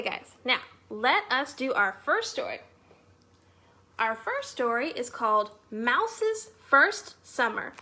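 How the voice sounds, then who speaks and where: A woman leads a children's storytime in a high, bright voice. She speaks to young children in an animated, sing-song manner, as a host who moves between chat and reading aloud.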